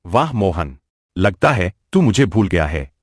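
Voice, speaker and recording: A man's voice: deep voice, big pitch swings, measured pace, slightly rough, no echo, clean studio sound, exaggerated voice. A man playing a menacing, greedy village moneylender with a domineering, threatening manner in a cartoon moral story. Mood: mocking menace. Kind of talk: Hindi animated moral story dialogue.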